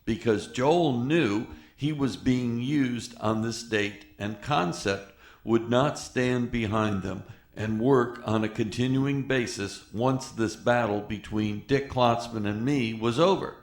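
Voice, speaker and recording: A man giving measured retrospective narration to introduce archival tape, recorded with room echo. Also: medium pitch. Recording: roomy, echoey voice recording.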